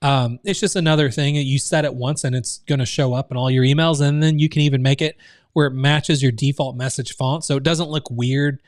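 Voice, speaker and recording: A man's voice: mid-range voice, steady tone, a little fast, dry recording, clean studio sound. A man hosting a live online training, explaining steps in a loose, casual way full of fillers and restarts. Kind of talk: live screen-share training.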